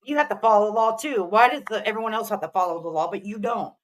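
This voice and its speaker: A woman commentator with a medium-pitched voice reacts in a blunt, scolding manner. Her delivery is mocking and pointed, addressed straight at the person she is criticizing. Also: fast talker.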